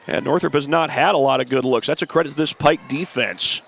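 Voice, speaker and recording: A congested man with the flu giving steady play-by-play of a high school girls' basketball game, adding stats and schedule notes. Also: a little fast, vocal fry. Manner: steady, informative play-by-play with stat and schedule asides. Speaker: play-by-play announcer. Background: faint gym ambience, ball bouncing.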